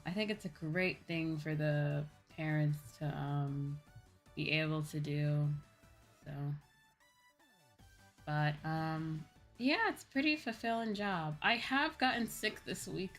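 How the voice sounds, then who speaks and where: A woman's voice: deep voice, a bit bright. A young American woman livestreamer chatting casually with viewers in a rambling, informal way, often correcting herself. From United States. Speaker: livestreamer, virtual YouTuber. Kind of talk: casual chat with live viewers. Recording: music playing behind the voice. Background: background music.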